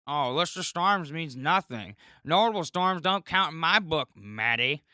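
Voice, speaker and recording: A high, bright, scolding internet commenter, put on by a man for a comic podcast skit. The delivery is exaggerated and sarcastic, as in a petty online squabble, and the sound is dry. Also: lively intonation, unhurried, dry recording, exaggerated voice. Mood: smug, indignant.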